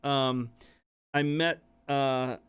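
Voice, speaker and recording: A man's voice: mid-range voice, steady tone, extremely slow, fairly bright tone. Rambling man with a Boston accent. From Massachusetts. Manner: rambling, full of "you know" and "like". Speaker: podcast guest, musician. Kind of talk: casual storytelling chat.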